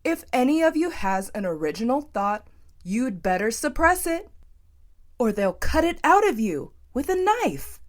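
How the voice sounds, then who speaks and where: A woman voicing a bitter, anguished young male TV clown in a story, ranting with loud, sardonic defiance. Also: high voice, sing-song, measured pace, pitched above the performer's own voice. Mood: menacing. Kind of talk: acted audiobook storytelling.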